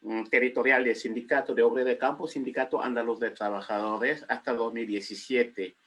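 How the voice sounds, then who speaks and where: A man originally from Senegal speaks on an online panel discussion. His voice is bright and forceful, and he sounds formal while pausing now and then to find his words. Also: mid-range voice, steady tone. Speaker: panel guest, former union spokesman.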